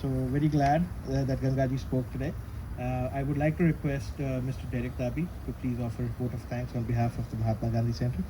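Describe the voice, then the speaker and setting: A man hosting a ceremony, giving an informal tribute in a halting, reflective way. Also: mid-range voice, little pitch movement. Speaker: ceremony host. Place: live ceremony at a statue. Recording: live event with street and ambient noise.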